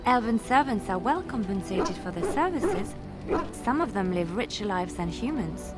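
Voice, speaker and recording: An actress playing a gentle, earnest young minstrel in a video game, with a French accent and a polite, careful manner. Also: female voice, medium pitch, sing-song, moderate pace, close to an everyday voice. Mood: flustered, apologetic. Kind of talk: video game character acting. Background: music.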